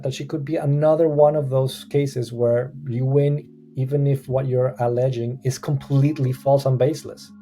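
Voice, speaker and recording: A man's voice: mid-range voice, mellow. A man who grew up in Costa Rica, talking in a casual podcast discussion. He speaks animatedly, restarts often and acts out the people he quotes.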